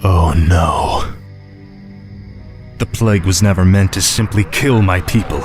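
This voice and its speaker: Low-voiced, headstrong young warrior prince. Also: male voice, measured pace, music in the background. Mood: urgent. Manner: firm, commanding.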